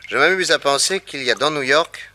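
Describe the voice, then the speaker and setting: An actor playing a convalescent detective who is relaxed, wry and teasing, in an offhand radio drama style. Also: male voice, a little high, a little fast, slight background noise. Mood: amused, relaxed. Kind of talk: vintage French radio drama acting.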